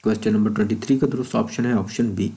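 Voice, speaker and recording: A man's voice: on the low side, fairly even intonation, moderate pace, mellow. A man with a Pakistani accent, an instructor reading out quiz questions and explaining the answers in a mix of Urdu and English. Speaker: law test-prep instructor.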